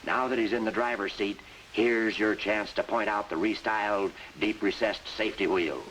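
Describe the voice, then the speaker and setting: An actor playing a bossy, emphatic sales mentor who narrates and coaches in a 1950s industrial training film. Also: male voice, on the low side, steady tone, moderate pace, a bit bright, a little hiss or hum, lightly acted, poor audio. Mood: enthusiastic instruction. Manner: instructive, emphatic, salesmanlike delivery. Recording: vintage 1950s film soundtrack with music.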